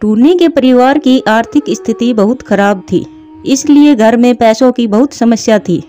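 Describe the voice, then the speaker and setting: A woman's voice: a little high, normal speed, warm tone, faint music behind. A woman narrating a scripted children's story.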